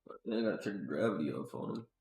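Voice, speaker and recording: A man with a low, gravelly voice talks to himself as he works on a livestream. His delivery is casual and muttered, full of slang and swearing. The room adds a clear echo to his voice. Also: animated, racing, a little mellow. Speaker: game developer live-streaming work. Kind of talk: casual thinking-aloud livestream commentary while working. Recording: roomy echo.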